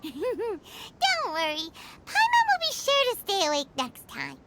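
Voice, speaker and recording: A woman voicing a tiny, eager, childlike fairy companion in a video game, with a squeaky voice and bubbly manner. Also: wildly swooping pitch, slow pace, heavy background noise, exaggerated voice. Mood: sheepish. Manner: bubbly, refers to self in third person. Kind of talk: video game character dialogue.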